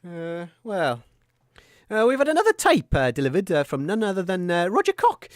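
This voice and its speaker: A man's voice: high-pitched, huge pitch swings, moderate pace, no room sound, studio quality. An actor, a man, playing a mild, hesitant, kindly co-host on a comedy radio show. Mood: flustered. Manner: hesitant, fussy, gentle. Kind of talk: scripted comedy radio banter.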